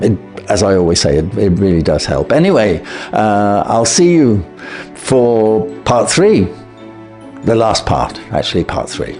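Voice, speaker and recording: A man narrating a classic short story as an expressive audiobook reader. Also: on the low side.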